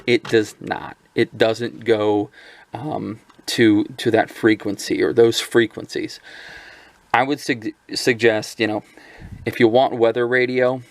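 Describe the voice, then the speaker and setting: A man's voice: mid-range voice, little pitch movement, slow pace, dry recording. A man giving a casual, rambling product review, with many fillers and restarts. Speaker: radio gear reviewer.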